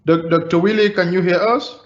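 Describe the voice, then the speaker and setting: A man with a slightly high voice moderates an online webinar, polite and hesitant as he hands over to a speaker. His call audio sounds roomy and muffled. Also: fairly even intonation, fairly quick. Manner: polite and hesitant, with many uh fillers.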